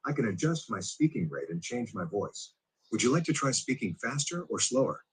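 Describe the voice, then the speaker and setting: Husky male robot assistant voice from a smart speaker, polite, bouncy pitch. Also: normal speed, low-quality recording. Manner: polite, even, synthetic assistant phrasing. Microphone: played from a smart speaker into the room.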